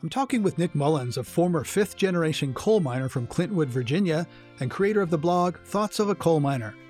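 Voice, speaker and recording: A man hosting an interview podcast, asking measured, attentive questions and reading quotes aloud. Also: on the high side, expressive, darkish tone. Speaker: podcast host. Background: faint music.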